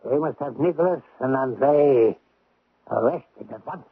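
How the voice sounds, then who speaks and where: An actor playing a skeptical senior magistrate, dry, impatient and condescending, in a radio drama. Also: male voice, medium pitch, measured pace, husky. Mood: stern, dry. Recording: dull 1970s radio drama recording.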